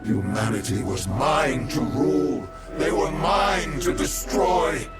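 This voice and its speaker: A man's voice: fairly high pitch, very animated, slow, over loud music. An actor voicing a wrathful male demigod tyrant in a video game, theatrical and menacing. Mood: bitter, threatening. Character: wrathful male demigod, tyrant villain bent on destroying humanity. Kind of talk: video game cutscene acting.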